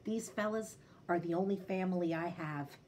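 A woman's voice: lowish voice, moderate pace, a little mellow, a little hiss or hum, close to an everyday voice. A woman voicing a kindly, modest old male shopkeeper in a storybook read-aloud, polite and hesitant. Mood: gentle.

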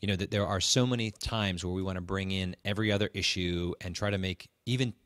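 A man's voice: low voice, fairly even intonation, fast, fairly bright tone, no echo. A man co-hosting a podcast, giving conversational advice, emphatic and often repeating and rephrasing his points. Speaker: podcast host. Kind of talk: conversational advice talk with a co-host.

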